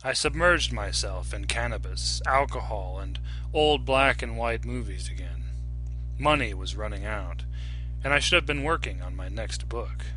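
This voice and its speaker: A man giving a dramatic, scripted narration of fiction in a measured, solemn, deliberate manner. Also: fairly low pitch, pushed and bright, slight background noise, rough recording. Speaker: audiobook narrator.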